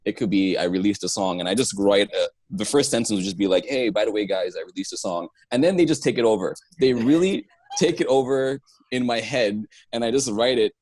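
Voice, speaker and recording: Animated, laughing man on a video call. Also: mid-range voice, a little fast, no echo, rough recording. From Nigeria. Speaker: guest, singer and actor. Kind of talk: casual chat. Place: home room indoors. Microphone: earphone mic on a video call.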